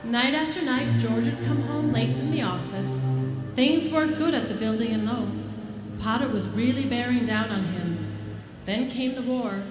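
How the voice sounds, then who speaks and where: An actress playing a knowing, matter-of-fact heavenly superior who narrates in a live 1940s-style radio play. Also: female voice, on the high side, animated, normal speed, lightly acted. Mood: storytelling. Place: live stage performance. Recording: roomy stage sound. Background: music.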